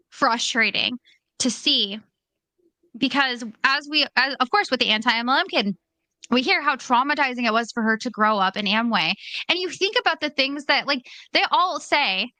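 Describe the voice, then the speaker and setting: A woman hosts a podcast, her voice bright and high. She talks in a casual, blunt way and states her opinions plainly, and the sound is dry and close. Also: expressive, a little slow, no room sound. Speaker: podcast host.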